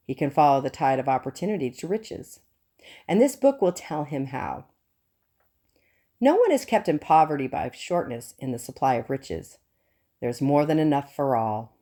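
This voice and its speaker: A woman reads scripted nonfiction as an audiobook narrator. Her voice sits low for a woman, with a dark, relaxed tone. The delivery is formal, measured and earnest. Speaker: audiobook reader.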